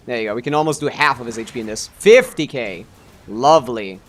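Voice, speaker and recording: A man's voice: medium pitch, animated, fairly quick. A man giving casual live gameplay commentary as a streamer, offhand and sweary, full of gamer slang. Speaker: game streamer.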